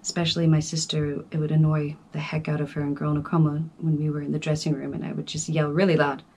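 A woman musician giving casual reaction commentary in a calm, soft-spoken way with playful asides. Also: sits low, fast, breathy edge.

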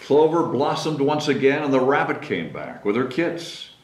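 A man's voice: medium pitch, very animated, normal speed, recorded from a distance. A man in his sixties hosting a story time, reading picture books aloud to children in a small meeting room. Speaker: story time host and mayor. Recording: roomy sound with background noise.

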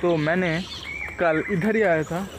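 A man's voice: a little high, fairly even intonation, a little slow. A young man chats casually and ramblingly in a selfie vlog, recorded outdoors on a phone. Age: young adult. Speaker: vlogger. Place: outdoors in a rural village. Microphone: phone at arm's length. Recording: noisy outdoor phone recording, insects, vehicles.

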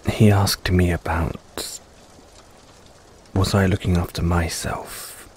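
A man playing an affectionate, self-doubting middle-aged dad in an intimate audio roleplay, speaking hesitantly and tenderly with gentle teasing. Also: low-pitched, flat delivery, slow, husky, full character voice. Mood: anxious, hesitant. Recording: rain-like ambience noise behind.

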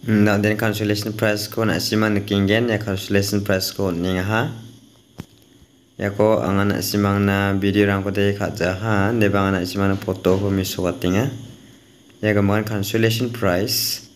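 A man's voice: fairly low pitch, level tone, a little slow. Chatty man vlogging by a noisy pond. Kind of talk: casual outdoor vlog narration. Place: outdoors at a crowded village fishing pond. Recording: noisy outdoor phone recording.

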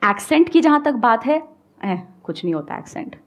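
A woman's voice: fairly high pitch, moderate pace, dull audio. A woman in her thirties with an Indian accent, giving an emphatic motivational talk on a studio stage, mixing Hindi and English. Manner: emphatic and animated, switching between Hindi and English, gesturing a lot. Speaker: guest speaker, English-speaking coach. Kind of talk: motivational talk to a live audience. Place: indoor studio stage with a live audience. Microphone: headset mic.

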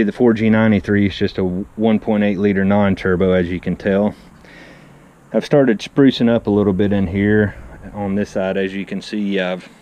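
A man's voice: low-pitched, darkish tone. A man, hosting a casual car vlog while walking around outdoors, chatty and enthusiastic, often saying "I mean". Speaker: car channel host. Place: outdoor driveway beside a garage. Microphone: handheld action camera. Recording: faint outdoor background noise. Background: faint outdoor ambience.

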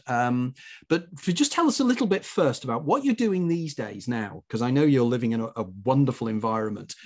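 A man's voice: on the high side, darkish tone. A man hosting a conversational interview show, talking in a chatty, enthusiastic way.

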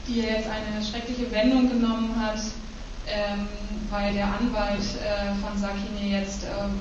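A woman's voice: medium pitch, slight background noise. A young woman moderating a panel, introducing guests hesitantly with frequent fillers. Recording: echoey distant room mic, dull sound.

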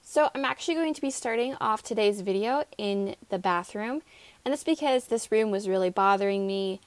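A woman's voice: mid-range voice, moderate pace. A woman in her twenties with an American accent, narrating a casual home vlog in a chatty, upbeat manner. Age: 20s. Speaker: home vlogger.

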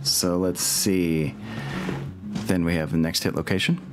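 A man's voice: low voice, steady tone, unhurried. A man acting as game master of a streamed tabletop game, thinking aloud as he narrates the results. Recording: music behind.

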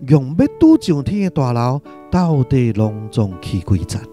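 A man's voice: medium pitch, sing-song, unhurried, mellow, faint music behind. A man with a Taiwanese accent narrating a literary radio drama from a script.

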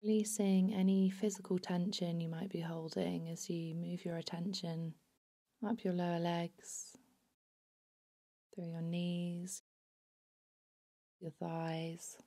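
A woman narrating a guided body scan meditation in a soothing, unhurried, hushed manner. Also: lowish voice, little pitch movement.

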